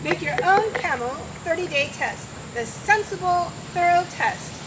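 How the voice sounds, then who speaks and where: Old radio ad lady, emphatic, high voice, loud noise on the recording. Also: expressive, a little slow. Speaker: commercial announcer. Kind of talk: vintage radio commercial announcing. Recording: very noisy old radio broadcast.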